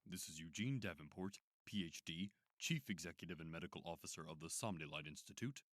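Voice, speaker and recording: Low-voiced clinical psychiatrist. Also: male voice, a bit bright. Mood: clinical, official. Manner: measured, formal, clinical. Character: smooth, probing male psychiatrist running an institute. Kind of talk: audio drama acting.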